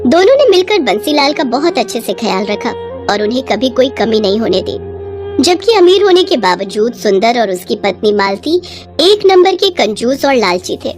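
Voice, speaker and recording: A woman's voice: on the high side, sing-song, normal speed, a little mellow, faint music behind. A woman narrator reading a scripted moral story.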